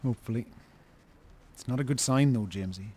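An actor with an Irish accent playing a reproachful, level-headed brother in a radio drama, measured and blunt. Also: male voice, medium pitch, darkish tone, slight background noise, close to an everyday voice. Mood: concerned. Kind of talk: naturalistic radio drama acting.

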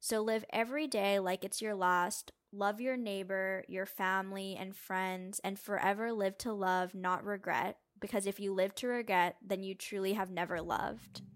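A woman's voice: mid-range voice, fairly even intonation, moderate pace, few pauses. Woman reading candid written answers.

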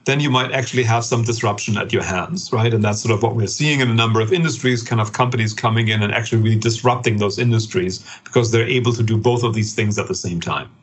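A middle-aged man speaks with a German accent and a faintly husky, breathy tone. He explains ideas at length as a podcast guest, circling back with fillers and reworded phrases like a professor thinking aloud. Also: on the low side, quick pace, few pauses. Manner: thoughtful, many fillers and rephrasings. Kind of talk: interview answers, explanatory.

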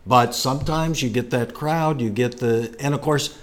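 A man's voice: mid-range voice, normal speed. A man hosting a casual sports conversation, asking leading questions and echoing his guest's words.

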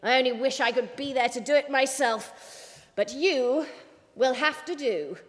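A woman's voice: high voice, measured pace, long reverb tail. A woman voicing a formidable, impatient female newspaper boss, brusque and emphatic, in an audiobook. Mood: stern. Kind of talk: audiobook character voice.

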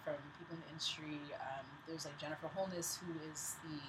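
A woman filmmaker from Canada, a guest on a podcast, telling stories in a fast, joking, slangy way in a studio. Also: sits low, fairly even intonation. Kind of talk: casual podcast chat. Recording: noisy studio recording.

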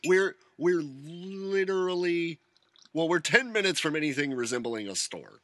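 A man's voice: fairly high pitch, animated, unhurried, sharp tone, no room sound, clean studio sound. A man co-hosting a casual podcast, joking and rambling with self-mocking asides. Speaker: podcast co-host. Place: rural home studio.

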